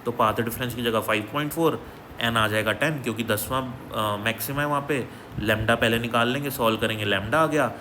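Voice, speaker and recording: A man's voice: medium pitch, normal speed, noisy background. A male physics teacher working through an exam problem step by step in a patient, explanatory way, often checking in with his listeners. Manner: explanatory, repeats "ठीक है" as a check-in.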